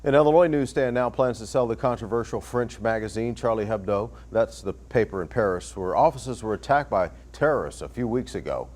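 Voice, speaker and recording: A man's voice: on the low side, a little fast, few pauses, a little mellow. An American man anchoring a newscast, reading stories and trading casual desk banter. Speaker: news anchor.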